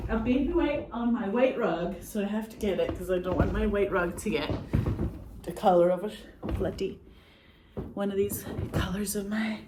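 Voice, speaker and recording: A woman's voice: on the low side, noisy background. A Scottish woman telling stories in a rambling, chatty way, laughing often and saying "like" a lot. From Scotland. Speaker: actress and filmmaker. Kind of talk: casual chat.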